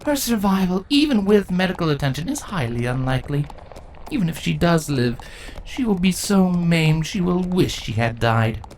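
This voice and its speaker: A woman's voice: on the low side, expressive, darkish tone, close to an everyday voice. A woman voicing a cold, imperious, vengeful changeling queen in a dramatic fantasy story reading. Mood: cold, disdainful. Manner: imperious, measured, menacing. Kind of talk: dramatic fan fiction audio reading. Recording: clearly noisy recording.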